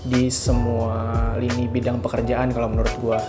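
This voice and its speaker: Relaxed slangy young man, loud music. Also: medium pitch, moderate pace. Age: 20s. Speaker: graphic designer, interviewee. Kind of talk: casual slangy opinion interview.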